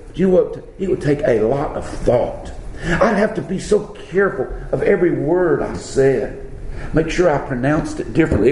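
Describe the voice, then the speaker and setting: A man's voice: sits high, darkish tone, reverberant, a little hiss or hum, rough recording. A man from the southern United States with a Southern accent, preaching a sermon in a folksy, conversational way with many fillers. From born South Alabama, grew up North Florida.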